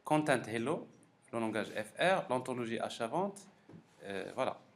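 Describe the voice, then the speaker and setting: A man giving a live technical software lecture as a professor, halting and repeating words as he thinks aloud. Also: mid-range voice, very animated. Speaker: university professor teaching a class. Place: classroom during a live course session. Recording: clearly noisy classroom recording.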